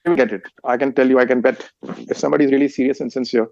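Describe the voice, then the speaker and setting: A man's voice: medium pitch, steady tone, vocal fry, a little mellow, no room sound, muffled recording. A man from India with an Indian accent, a Hindi speaker, answering interview questions with frequent restarts and fillers. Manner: halting, many restarts and fillers. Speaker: guest, author and meditation teacher.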